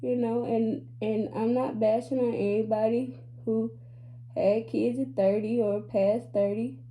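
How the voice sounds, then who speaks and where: A woman's voice: on the high side, flat delivery, a little slow, mellow, a little hiss or hum, low-quality recording. Sassy chatty woman vlogging to viewers. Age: 20s. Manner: chatty, candid and sassy, addressing viewers as y'all. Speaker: beauty vlogger. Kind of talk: casual chatty vlog talk.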